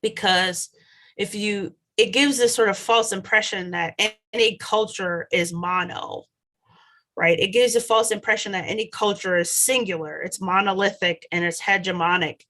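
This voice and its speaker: Woman, 30s, scholar, measured lecture, bright tone, headset, home study. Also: medium pitch, fairly even intonation. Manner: measured, thoughtful, with occasional restarts. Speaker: scholar explaining her theory. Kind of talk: solo video lecture. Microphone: headset on a video call.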